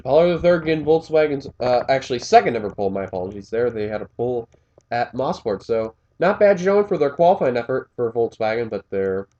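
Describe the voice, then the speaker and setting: A man calls a race in a casual play-by-play, his voice a little scratchy and pitched in the middle range. He rambles, drops fillers and corrects himself as he goes, recorded on a muffled, lo-fi home mic. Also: mellow. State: slightly scratchy voice. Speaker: race commentator. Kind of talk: play-by-play commentary of a simulated race.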